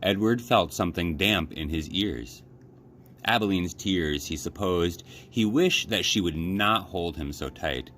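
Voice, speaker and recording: A man reading a children's story aloud as an expressive narrator, like a librarian at story time. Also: deep voice, unhurried, slight background noise.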